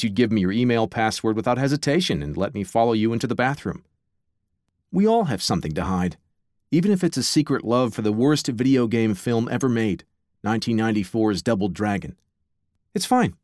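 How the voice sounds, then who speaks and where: A man narrates a nonfiction audiobook with a lively, rising-and-falling delivery. He reads in a measured, theatrical way. The studio sound is close and dry. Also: lowish voice, dry recording. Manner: measured, theatrical reading with asides.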